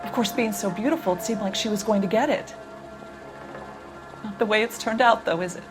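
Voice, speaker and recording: An actress playing a guarded, proper housekeeper in a video game, with a hesitant and evasive manner. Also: female voice, mid-range voice, brisk, natural voice. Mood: candid, wry. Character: guarded, flustered female housekeeper, proper yet gossipy. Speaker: domestic worker. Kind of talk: video game cutscene acting. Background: music.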